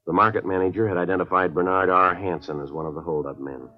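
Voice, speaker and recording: A man's voice: low-pitched, fairly even intonation, fast, natural voice. An actor playing a terse, businesslike police detective with an American accent, narrating his case in clipped, matter-of-fact 1950s radio drama style. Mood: flat, businesslike. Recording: muffled old radio recording, faint music.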